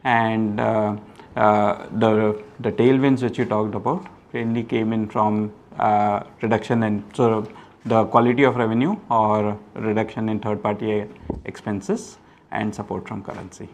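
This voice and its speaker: A man with an Indian accent explaining financial figures as a company executive, hesitant and halting. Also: lowish voice, flat delivery, a little mellow. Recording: faint background noise and ambience.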